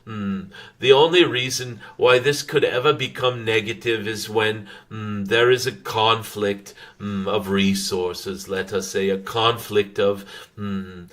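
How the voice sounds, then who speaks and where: A man channeling a wise, benevolent dragon spirit in a spiritual message, speaking in formal, measured phrases broken by thoughtful hums. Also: lowish voice, fairly even intonation, a bit bright. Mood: calm, instructive. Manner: formal, archaic-tinged phrasing. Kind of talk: channeled spiritual message, slow unscripted address to listeners.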